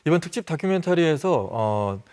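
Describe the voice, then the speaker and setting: A man's voice: a little high, very animated, a little mellow, clean tone. Polished male talk show moderator. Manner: polished, brisk moderator introducing guests. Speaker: host, moderator. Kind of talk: broadcast panel moderation.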